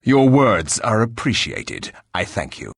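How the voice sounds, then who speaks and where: An actor playing a stern, sardonic revenant warrior in a fantasy game, raspy, with stiff and formal speech. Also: male voice, fairly low pitch, huge pitch swings, measured pace, heavily acted. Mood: stern, terse. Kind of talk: fantasy game character acting.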